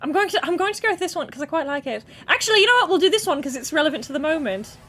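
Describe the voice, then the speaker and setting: A woman streaming, chatting casually and excitably as she reacts to game trailers with friends. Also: high-pitched, racing, soft background music. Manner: excitable, chatty, bursts into squeals. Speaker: streamer host.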